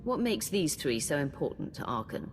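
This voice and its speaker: Deep-voiced, resolute commander. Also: female voice, very animated, moderate pace, creaky, long reverb tail, faint music behind, heavily acted. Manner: firm, direct. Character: resolute female commander, principled and direct. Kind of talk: video game acting.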